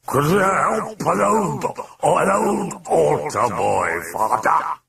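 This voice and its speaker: A man voicing a sly, taunting, grandiose demon in a croaking, breathy rasp, drawn out with mock gentility for an audiobook. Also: on the high side, sing-song, sharp tone, recorded from a distance, voice pushed up, exaggerated voice. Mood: wheedling mockery. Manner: drawn-out, mock-genteel, theatrical delivery. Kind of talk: dramatic audiobook character voice.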